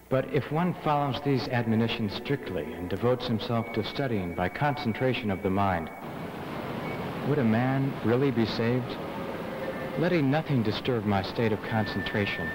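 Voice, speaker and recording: A man voicing an earnest, devout young monk in a dubbed animated film, solemn and measured in his delivery. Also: medium pitch, background noise, natural voice. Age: young adult.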